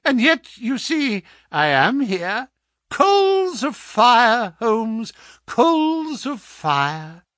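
A man's voice: high-pitched, very animated, takes it slowly, sharp tone, dry recording, clean studio sound, pitched above the performer's own voice, heavily acted. A man voicing a gloating, sneering villain in an audiobook story, with an insistent, mocking manner. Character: gloating, sneering villain visiting a sick enemy. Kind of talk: audiobook character acting.

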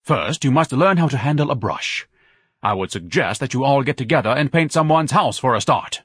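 A man voicing a pompous, indignant male hot dog vendor in an audiobook reading, loud and theatrical. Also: medium pitch, very animated, fairly quick, breathy edge, no echo, studio quality, pitched above the performer's own voice, full character voice.

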